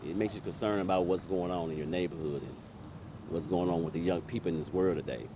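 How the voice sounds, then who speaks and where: Male resident, deep-ish voice, casual street interview, clear background noise. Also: fast talker. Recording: noisy outdoor location sound.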